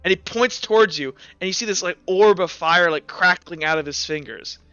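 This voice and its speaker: A man running a tabletop game, improvising narration in a halting, casual, slangy way. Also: sits high, sharp tone, clean tone, no room sound. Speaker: game master. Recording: muffled, music behind. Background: background music.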